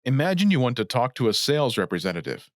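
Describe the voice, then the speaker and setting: A man narrates a scripted language lesson as its host. His delivery is clear and instructional, with lively pitch movement. The sound is close and dry. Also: quick pace, no echo.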